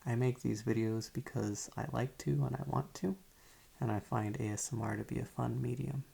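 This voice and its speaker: A man who makes ASMR videos, giving a soft-spoken, casual update to his viewers in a low-key, hesitant way. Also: steady tone, moderate pace, creaky, husky.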